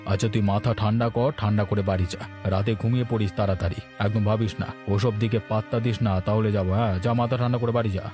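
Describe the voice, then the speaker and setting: A man playing a calm, thrifty village man in a cartoon, giving reassuring advice. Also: lowish voice, quick pace, hardly any pauses, music in the background, natural voice. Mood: soothing. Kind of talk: cartoon voice acting, folk-tale dialogue.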